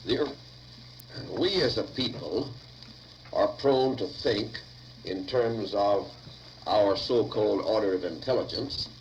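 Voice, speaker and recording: A man with a fairly low voice reads out lecture notes on a noisy 1950 reel-to-reel tape. His phrasing is formal and deliberate, like a lecturer dictating. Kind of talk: slow dictated lecture notes read aloud.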